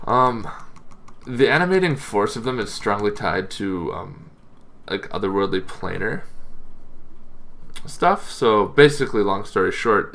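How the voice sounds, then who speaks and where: A man hosting a tabletop gaming channel, giving a casual, informal explainer with halting, loosely phrased delivery. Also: low-pitched, creaky, a bit bright.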